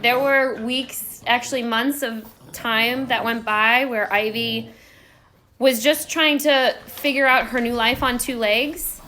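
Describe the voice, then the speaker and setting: A woman's voice: a little high, steady tone, slow pace, bright, forceful tone, slight background noise. A woman hosting a casual live stream, chatting affectionately to her viewers. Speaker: host and children's book author. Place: indoors in a room, live-streamed. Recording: echoey room.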